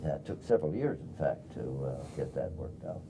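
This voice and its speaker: An older man from Wisconsin speaking as a panelist in a halting, deliberate way. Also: very deep voice, sing-song, gravelly. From Wisconsin, USA. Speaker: panelist, former society president. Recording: noisy 1990s video recording.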